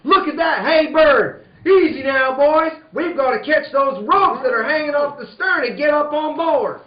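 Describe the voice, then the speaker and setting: A man's voice: extremely high pitch, moderate pace. A man playing a bold, hearty cowboy hero in an old-time radio western. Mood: urgent, commanding. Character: bold, hearty heroic cowboy Red Ryder. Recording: muffled, echoey room recording.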